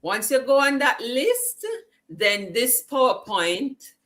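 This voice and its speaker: A woman's voice: fairly high pitch, expressive, slow, fairly bright tone. A woman hosting a live online summit over a video call, cheering on her viewers and reading their chat comments aloud. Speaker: summit host.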